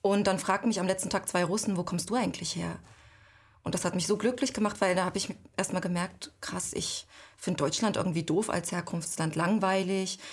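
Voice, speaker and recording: A woman in her thirties from East Germany with a somewhat low voice. She talks thoughtfully as an interview guest, pausing often to find her words. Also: steady tone, breathy edge. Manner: thoughtful, searching for words with frequent pauses. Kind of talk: reflective personal interview.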